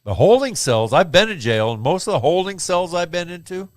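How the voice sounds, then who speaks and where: A man's voice: sits high, sing-song, fairly quick, dry recording, clean studio sound. A man co-hosting a casual podcast, rambling loosely and laughing often. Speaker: co-host.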